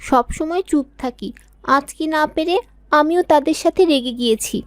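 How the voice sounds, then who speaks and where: A woman plays a poor, humble little cartoon girl. Her voice is high and polite, with no room echo, and she delivers scripted moral dialogue meekly. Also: moderate pace, close to an everyday voice. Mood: hurt. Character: poor tailor's young daughter, humble and long-suffering.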